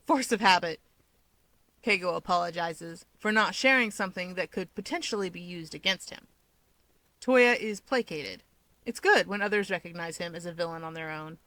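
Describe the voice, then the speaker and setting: A woman's voice: on the low side, moderate pace, pushed and bright, no room sound. A woman reading scripted fiction aloud as an audiobook narrator.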